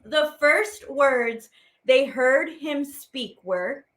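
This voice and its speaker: A high-voiced woman reads children's verse aloud as a teaching artist. Her delivery is theatrical and playful, swooping widely in pitch. She takes it slowly, stretching out words for effect. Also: expressive, a bit bright. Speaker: teaching artist and program host. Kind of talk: expressive read-aloud of children's poems.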